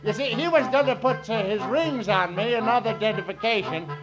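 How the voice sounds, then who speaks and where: A man's voice: high voice, brisk, fairly bright tone, faint music behind, poor audio. An actor playing a meek, simple-hearted drifter in a 1940s radio drama, stammering and folksy as he gets flustered. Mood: flustered, apologetic. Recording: vintage 1940s radio broadcast.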